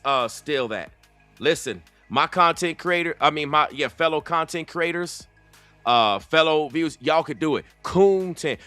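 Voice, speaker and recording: A man's voice: on the high side, pushed and bright. A man hosting a reaction video, giving heated, rhetorical commentary in a strong dialect.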